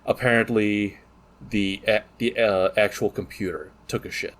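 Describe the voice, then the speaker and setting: A man's voice: fairly low pitch, fairly even intonation, moderate pace. A man co-hosting a podcast in casual chat, joking and swearing freely as he talks. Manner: profane, joking banter. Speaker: podcast co-host.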